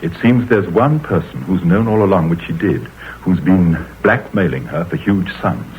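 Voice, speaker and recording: An actor playing an anxious, wealthy middle-aged gentleman who speaks in a tense, hesitant way in an old radio drama. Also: deep voice, moderate pace, lightly acted. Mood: fearful. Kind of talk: 1930s radio drama acting. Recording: scratchy tinny old radio broadcast.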